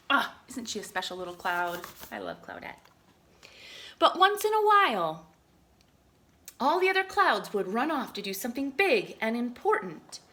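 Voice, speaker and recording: Animated, bright-voiced woman reading aloud. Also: mid-range voice. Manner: expressive, often drops into hushed asides. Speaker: teacher reading aloud to children. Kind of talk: picture-book read-aloud with asides.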